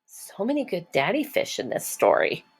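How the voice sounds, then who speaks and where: A woman teacher narrating a children's storybook in a measured, explanatory way for young listeners. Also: medium pitch, huge pitch swings, vocal fry, breathy edge. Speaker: teacher reading aloud.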